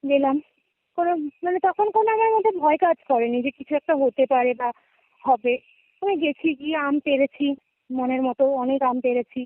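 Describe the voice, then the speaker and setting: High-pitched young Bengali woman on a phone line. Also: normal speed, no room sound. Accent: West Bengal Bengali. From West Bengal, India. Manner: conversational, checks in with listener often. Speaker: guest caller. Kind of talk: casual personal storytelling. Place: remote call, dry sound. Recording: narrow tinny phone-call audio.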